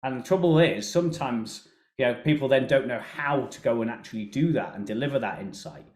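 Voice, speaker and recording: A man presenting a software walkthrough in a webinar, explaining things in a conversational way. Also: mid-range voice, lively intonation, a little fast. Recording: roomy, echoey sound.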